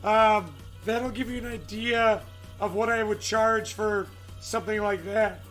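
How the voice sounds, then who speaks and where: A man with a raspy, strained voice chats casually on a live stream, searching for words and greeting viewers by name. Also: high voice, fairly even intonation, a little slow, a bit bright, faint music behind.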